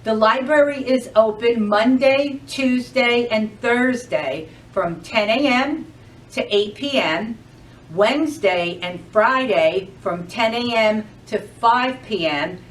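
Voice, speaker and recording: A woman's voice: a little high, slow pace, far from the mic, rough recording. A woman reading a children's storybook aloud as a storytime reader, expressively and addressing the young listeners directly.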